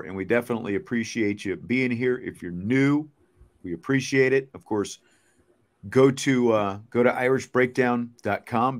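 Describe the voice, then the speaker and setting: A man hosting a sports podcast, chatting casually and thinking aloud as he answers viewer comments. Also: medium pitch.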